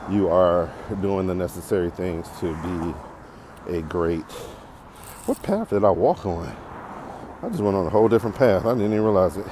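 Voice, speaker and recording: Very deep-voiced American man, rambling casually. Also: noisy background. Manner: rambling, digressive, thinking aloud. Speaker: podcast host. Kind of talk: casual unscripted monologue while walking. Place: outdoors, walking on a path.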